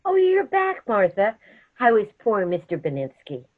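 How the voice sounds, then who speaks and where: A woman's voice: medium pitch, wildly swooping pitch, normal speed, phone-quality sound. Woman acting a lilting, genteel old aunt. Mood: fussing, kindly. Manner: genteel, fussing, chatty. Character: sweet, genteel elderly spinster aunt, cheerfully macabre. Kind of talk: radio comedy theatre acting.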